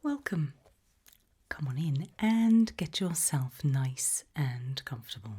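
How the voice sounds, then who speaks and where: Woman doing asmr questionnaire, low soft whisper, super breathy, kinda creepy and deliberate. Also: lively intonation. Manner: slow, deliberate, gently ominous interviewer. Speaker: ASMR roleplay host.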